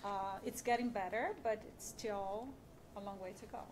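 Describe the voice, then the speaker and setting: A woman's voice: mid-range voice, fairly even intonation, moderate pace, darkish tone, slight background noise. A woman on a discussion panel speaking earnestly and persuasively, urging listeners to act.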